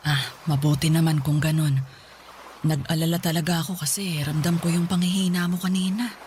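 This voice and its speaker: A woman's voice: sits low, fairly even intonation, a little fast, darkish tone, close to an everyday voice. A woman playing a worried middle-aged mother asking questions in a Tagalog radio drama. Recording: some noise behind the voice.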